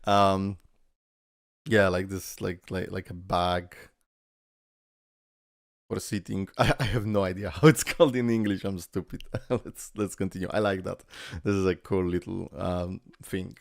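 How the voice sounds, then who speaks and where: A man whose first language is Polish, hosting a casual game reaction video and talking in an excitable, rambling way full of fillers. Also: deep voice, measured pace, gravelly, no room sound, clean studio sound.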